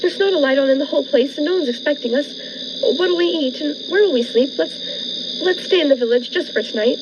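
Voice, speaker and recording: A woman's voice: high-pitched, fast talker, lightly acted. A woman playing a naive, nervous newlywed bride in an old-fashioned radio thriller, speaking in genteel, dramatic, hesitant phrases. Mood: anxious pleading. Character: naive, fluttery newlywed bride turning anxious and pleading. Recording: muffled lo-fi radio play, noisy background.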